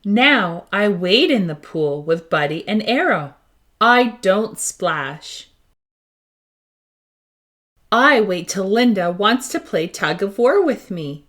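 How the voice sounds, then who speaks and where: A woman's voice: mid-range voice, sing-song, takes it slowly, fairly bright tone, full character voice. Woman voicing an eager, bouncy young puppy. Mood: earnest. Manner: exuberant, wide-eyed, childlike. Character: eager, naive young puppy narrating a picture book. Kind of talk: storybook read-aloud.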